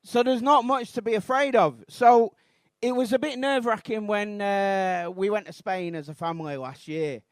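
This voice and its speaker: A Mancunian man in his thirties doing a rambling, self-deprecating stand-up routine on a comedy club stage, bursting into shouted outrage. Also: high-pitched, normal speed, few pauses, clean tone, no echo. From Manchester, England.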